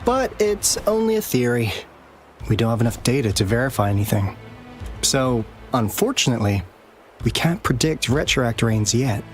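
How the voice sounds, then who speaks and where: A male actor voices a composed field researcher in a video game. He explains things in a measured, mid-pitched voice. Music plays clearly behind him. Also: close to an everyday voice. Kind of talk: video game story dialogue.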